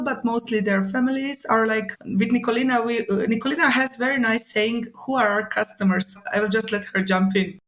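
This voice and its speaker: Woman from Croatia, English speaker, fairly high voice, rambling casual interview talk. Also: fairly bright tone, poor audio. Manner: chatty, lots of "like" fillers, laughs easily. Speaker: food hub founder.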